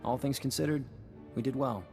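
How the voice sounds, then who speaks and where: A measured diplomat voiced by a man in a science-fantasy game. His mid-range voice is polite and matter-of-fact, with music clearly underneath. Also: mid-range voice, natural voice. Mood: diplomatic. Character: pragmatic male Republic diplomat, polite and measured. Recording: music behind the voice.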